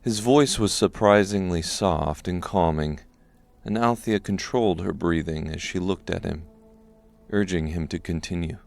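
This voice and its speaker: A man reading scripted narration for a dark fantasy audiobook. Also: sits low, unhurried, gravelly.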